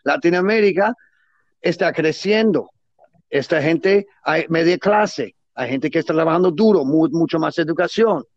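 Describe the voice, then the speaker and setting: A middle-aged man who is not a native Spanish speaker gives closing remarks as a guest, talking with eager enthusiasm in halting Spanish full of grammar slips. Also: fairly high pitch, dry recording, dull audio. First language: not Spanish; Spanish is his second or third language. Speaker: guest, cigar businessman. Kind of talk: closing remarks in a casual interview.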